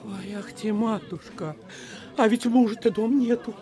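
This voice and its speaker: A woman playing an excitable, wailing, fussing village housewife in a radio play. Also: on the high side, unhurried, close to an everyday voice. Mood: flustered alarm. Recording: crowd murmur behind, off-mic.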